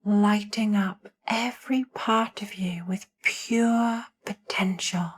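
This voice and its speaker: A woman narrating a guided meditation in a soothing, hushed manner, leaving long pauses between short phrases. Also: mid-range voice, steady tone, breathy edge.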